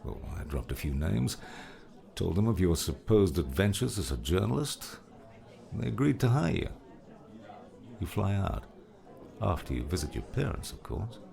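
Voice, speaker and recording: Weary veteran guardian, played by a man, kindly older mentor type, very low and heavily creaky, music underneath. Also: sing-song, moderate pace, pauses often, a little mellow, heavily acted. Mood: gentle, reassuring. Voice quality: gravelly. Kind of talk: audiobook drama acting.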